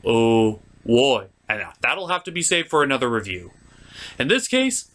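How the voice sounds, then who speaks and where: Animated, bright-voiced man reviewing cartoons. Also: mid-range voice, a little slow. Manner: emphatic, opinionated, casual and blunt. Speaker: host of a cartoon review show. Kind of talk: enthusiastic scripted video review with casual asides.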